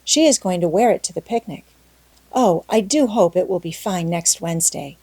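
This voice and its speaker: A woman acts out a young orphan girl in an audiobook. She is talkative and dreamy, gushing with earnest drama. The tone stays soft and mellow, recorded very dry. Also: lowish voice, lively intonation, normal speed, breathy edge, no room sound. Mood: excited, dreamy. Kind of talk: audiobook character acting.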